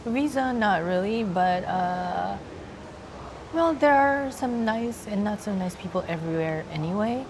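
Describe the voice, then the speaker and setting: A young adult woman from the Philippines with a Filipino accent, answering interview questions in a soft, hesitant way with many pauses. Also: medium pitch, lively intonation, noisy background. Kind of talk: casual interview chat.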